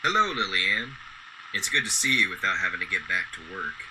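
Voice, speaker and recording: An actor playing a kindly, loyal old garbage man in a radio drama, with a gentle, folksy, polite way of talking. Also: low voice, expressive, fairly quick. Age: older. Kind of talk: golden-age radio drama acting. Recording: clearly noisy background.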